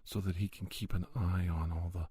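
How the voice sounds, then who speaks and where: Male ASMR podcast host, low husky voice, reflective and measured monologue delivery, close and dry. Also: flat delivery, warm tone, no echo.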